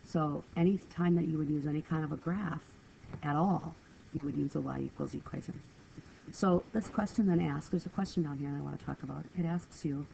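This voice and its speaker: A woman math teacher talks students through a screen lesson, stuffy from a cold, her voice mellow and gravelly. She explains patiently and apologizes easily. The recording is dull and lo-fi, with a faint hiss behind it. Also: deep voice.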